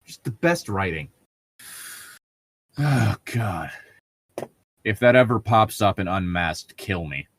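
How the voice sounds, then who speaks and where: A man's voice: on the low side, expressive, takes it slowly, pauses often, fairly bright tone, a little hiss or hum. A young man co-hosting in casual banter, wry and sarcastic, with exaggerated reactions and riffs. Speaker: co-host commenting on fan fiction.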